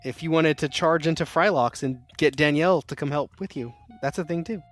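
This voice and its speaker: A man's voice: on the high side, a little fast, faint music behind. A man acting as game master, explaining rules and setting up the scene for his players. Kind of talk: running a tabletop game.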